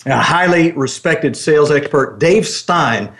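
Male radio host, upbeat and chatty, voice pitched on the high side. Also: animated, a little slow, fairly bright tone. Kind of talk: upbeat talk radio hosting.

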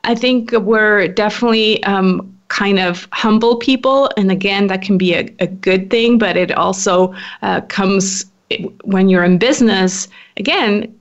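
A woman's voice: medium pitch, measured pace. Chatty Swiss-born woman, lo-fi audio. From Switzerland. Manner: chatty, laughs often, many restarts. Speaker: podcast guest. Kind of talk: casual interview conversation.

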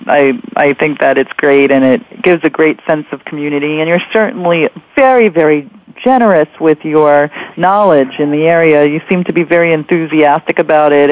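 A somewhat muffled, lo-fi radio recording of a woman with a low-lying voice. She hosts a casual interview chat, encouraging and affirming her guest in an easy conversational way. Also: no room sound. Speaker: radio show host and interviewer.

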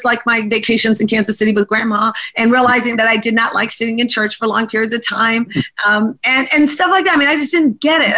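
A woman talks through her answers as an interview guest, her voice bright and a little high. She laughs easily and keeps restarting her sentences. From born abroad to diplomat parents. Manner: chatty, laughs often, many restarts and fillers. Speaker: congressional candidate guest.

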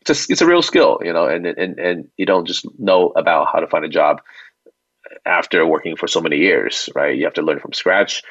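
A man's voice: sits low, lively intonation, brisk, a bit bright, no room sound. Muffled, close-dry remote audio: male interview guest, conversational and reassuring, an informal founder type. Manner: informal, reassuring, often checks with "right?". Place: remote call.

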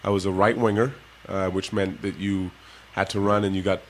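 Low-voiced guy telling kids about his life, easygoing, lots of laughs, bit of hiss. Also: moderate pace, rough recording. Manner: relaxed storytelling, laughs often. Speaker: guest, former pro basketball player. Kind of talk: casual interview talk to kids.

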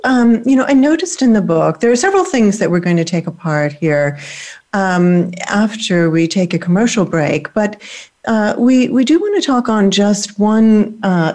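A woman's voice: mid-range voice, a little mellow. A woman hosting an interview, asking long thoughtful questions and searching for words. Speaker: host. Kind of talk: interview conversation.

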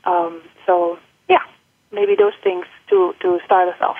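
A woman's voice: on the low side, level tone, slow, slightly rough. A woman in her forties from the Netherlands with a Dutch accent, touched by British and American tones, talking through an interview with many fillers and restarts. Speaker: guest, college faculty member. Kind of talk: interview conversation. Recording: dry, phone-like line.

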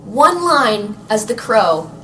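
A woman interviewer asks playful, teasing questions at an ordinary pitch. She sounds far from the mic in an echoey room. Faint noise sits in the background. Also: sing-song, takes it slowly, pushed and bright. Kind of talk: casual interview chat. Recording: distant echoey recording.

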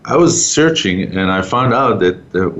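A man hosting a conversational radio interview in a halting, informal way. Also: fairly low pitch, expressive, a bit bright. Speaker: talk show host.

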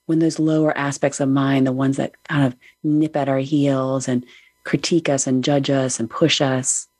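A middle-aged woman hosting a show, leading listeners through a soothing, unhurried guided breathing meditation. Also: very deep voice, level tone, no echo, studio quality. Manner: soft, soothing, unhurried guidance. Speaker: podcast host.

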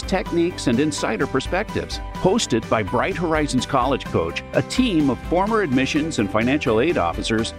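A man announcing a scripted radio promo in a smooth, upbeat way. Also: on the high side. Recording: promo voice over music.

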